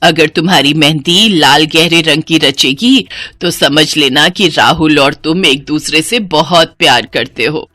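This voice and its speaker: A woman's voice: sits low, a little slow, pushed and bright, no echo, faint music behind, voice pushed down, exaggerated voice. A woman voicing an older mother-in-law, firm but affectionate and instructing, in a cartoon story.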